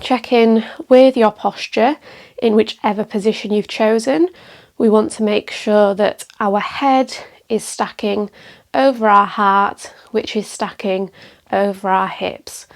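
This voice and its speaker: A woman leads a guided meditation at a slow, unhurried pace. Her voice sits in a middle register with a noticeable creak at the edges. She instructs gently and calmly, leaving space between phrases. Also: medium pitch. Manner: calm, gentle, measured with pauses. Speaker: meditation teacher.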